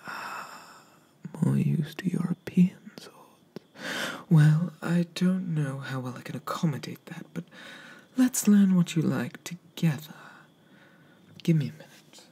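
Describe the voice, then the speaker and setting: A man speaking softly in a breathy whisper close to the microphone, slow and courteous, roleplaying an eager weapons merchant for ASMR. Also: a little high, sing-song. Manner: slow, hesitant, courteous sales patter. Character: polite, eager male weapons merchant obsessed with swords.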